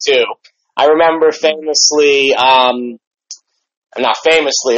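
A man who used to act, hosting an interview in a relaxed, halting way with lots of fillers. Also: medium pitch, no echo. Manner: relaxed, hesitant, lots of ums and you knows. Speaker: podcast interviewer, former actor. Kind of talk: casual interview chat. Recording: dry, slightly dull podcast audio.

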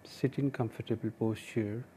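Indian guy doing guided meditation, flat mellow voice, short calm instructions w pauses, bit of background noise. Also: lowish voice. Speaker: meditation teacher and podcast host.

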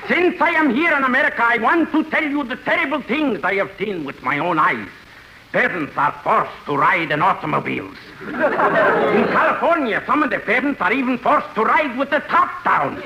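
High-pitched pompous Russian delegate, noisy radio. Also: male voice, lively intonation, normal speed, a crowd in the background. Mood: pompous, indignant. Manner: pompous, oratorical. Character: pompous, long-winded Soviet delegate giving speeches. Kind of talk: 1950s radio comedy acting. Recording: very noisy, echoey old radio broadcast.

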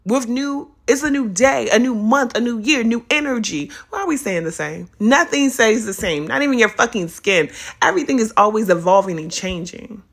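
Slightly raspy woman, animated podcast host. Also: on the high side, moderate pace. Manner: animated, profane, playful, spiritual self-help talk. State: raspy, recovering from lost voice. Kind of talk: casual solo podcast talk.

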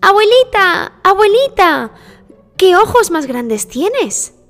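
A sweet, trusting little storybook girl, played by a woman, speaks in a high, childlike singsong. She sounds innocent and obedient, in long reverb over music. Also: big pitch swings, takes it slowly, a bit bright, heavily acted. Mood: innocent, wondering.

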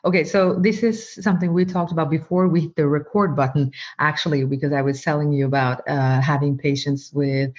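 A woman's voice: low-pitched, steady tone, a little fast, few pauses, darkish tone, husky. A woman, a doctor speaking as an interview guest, answering thoughtfully and often repeating words while she finds her phrasing. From Norway. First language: Norwegian. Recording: slightly muffled, lo-fi.

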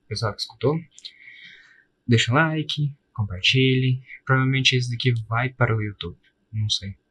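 A man's voice: on the low side, fairly bright tone, husky. A man with a Brazilian accent hosting a podcast, chatting casually in informal, slangy speech. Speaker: podcast host.

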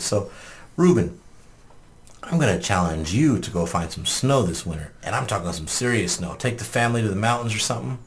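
A man's voice: mid-range voice, creaky, fairly bright tone, a little hiss or hum. A man hosting a casual group podcast, joking and ribbing his friends, with frequent swearing. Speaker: podcast host.

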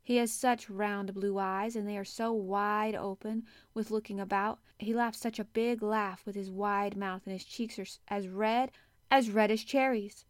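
A woman's voice: medium pitch, fairly even intonation, measured pace, dry recording, natural voice. A woman voicing an earnest, frank and stubborn young girl in an audiobook story, speaking with enthusiasm. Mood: eager, coaxing. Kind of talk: audiobook character acting.

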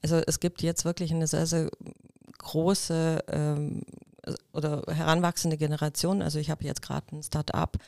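A woman's voice: sits low, little pitch movement, normal speed, gravelly, a little mellow, dry recording, studio quality. A middle-aged woman born in Ukraine, a lawyer talking as a podcast guest in thoughtful casual chat with many fillers and restarts.

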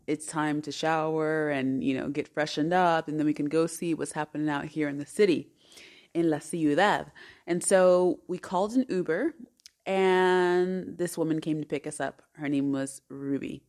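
A woman's voice: low-pitched, fairly even intonation, normal speed, darkish tone. A woman in her thirties, a podcast host, telling a personal story in an earnest, chatty way. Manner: chatty and earnest, with many fillers and restarts.

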